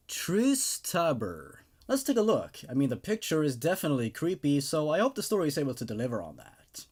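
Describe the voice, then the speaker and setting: A man who hosts horror story readings, narrating dramatically and commenting casually in an expressive, emphatic way. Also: high-pitched. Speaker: host reading and reviewing horror stories.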